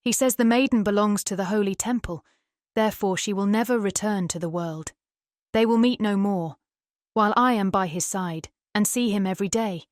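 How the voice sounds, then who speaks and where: A woman plays a young mermaid from a storybook, pitched up into a girlish register. She is tender, earnest and sorrowful, with a British accent. The recording is close and dry. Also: moderate pace, no echo, lightly acted. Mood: tender devotion. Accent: British English. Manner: earnest, wistful. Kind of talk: dramatized fairy tale audiobook.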